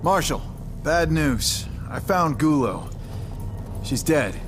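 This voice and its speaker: A man's voice: a little high, sing-song, slow pace, over music. An actor playing a tough, confident male ranger hero in a video game, terse and matter-of-fact. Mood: somber.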